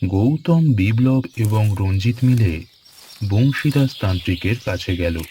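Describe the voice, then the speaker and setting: A man narrating a scripted horror story in a measured, dramatic way. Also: lowish voice, lively intonation, mellow, slight background noise.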